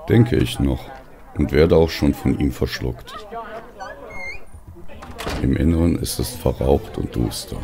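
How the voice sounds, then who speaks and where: A man narrating a literary story in the first person as an audiobook reader, with a gravelly voice and deliberate phrasing. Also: rumbling low.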